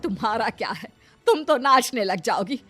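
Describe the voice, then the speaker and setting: A woman's voice: on the high side, expressive, normal speed, pushed and bright, faint music behind, voice pushed up. A woman voicing a grumbling, exclaiming older mother-in-law in a cartoon story, scolding and easily alarmed. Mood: panicked, bitter. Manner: exclaiming, complaining.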